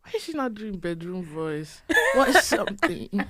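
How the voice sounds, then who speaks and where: Teasing woman with a lively low voice. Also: moderate pace. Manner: teasing, laughing, uses pidgin slang. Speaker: podcast co-host. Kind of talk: casual chat.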